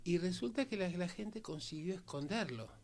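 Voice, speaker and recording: A man's voice: high-pitched, animated, normal speed, a crowd in the background. A man with an Argentine accent discussing political history conversationally, in broken phrases with rhetorical turns. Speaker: panelist on history programme.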